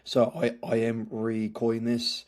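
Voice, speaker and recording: Hoarse halting man. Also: medium pitch, monotone, darkish tone. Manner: halting, many fillers and restarts. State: hoarse from yelling. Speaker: podcast host. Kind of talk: casual match review.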